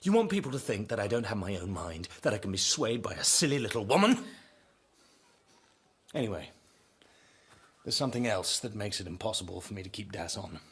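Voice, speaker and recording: A man playing a proud, stubborn English husband in a radio drama, condescending and firm, with a husky voice. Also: on the low side, big pitch swings, a little fast, pauses often, a bit bright, full character voice. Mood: indignant, stubborn.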